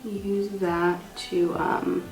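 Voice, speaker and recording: Casting: young female beauty vlogger, low voice, stuffed up with a cold, casual tutorial chat, roomy echo. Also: flat delivery, extremely slow, creaky, a little mellow, soft background music. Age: young adult. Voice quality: slightly stuffy, congested. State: has a cold. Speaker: makeup artist and beauty vlogger. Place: small indoor room.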